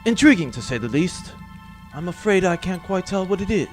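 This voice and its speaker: A man's voice: sits high, sing-song, brisk, faint music behind. A man playing a roguish, self-assured space pirate captain, wry and dramatic, in a comic sci-fi audio drama. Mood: satisfied.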